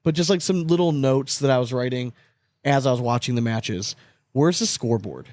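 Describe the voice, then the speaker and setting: A man's voice: mid-range voice, normal speed, a little mellow, no room sound. A man co-hosting a sports podcast in casual chat, candid and loose, swearing freely and laughing between points. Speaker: podcast co-host.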